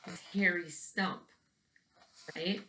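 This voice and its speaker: A woman reading a picture book aloud to children, pausing to explain and ask them questions. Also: lowish voice, fairly even intonation, sharp tone, a little hiss or hum, low-quality recording. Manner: questioning, explains to young listeners. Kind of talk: read-aloud storytelling with asides and questions to children.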